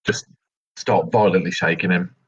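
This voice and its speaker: A man's voice: low-pitched, normal speed, breathy edge. A man casually improvising what his character does as a player in a tabletop roleplay game. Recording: dull, lo-fi game recording.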